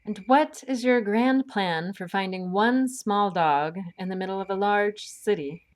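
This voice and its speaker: Level-headed swashbuckling partner, played by a woman: dry, bookish, skeptical, unhurried and exact in audio drama. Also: medium pitch, close to an everyday voice. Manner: precise, dry, measured. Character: sensible, bookish woman adventurer, dryly skeptical.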